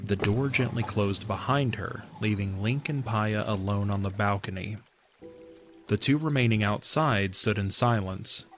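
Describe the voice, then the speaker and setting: A man reading scripted story narration for an audio drama, in the manner of an audiobook. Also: on the low side. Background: background music.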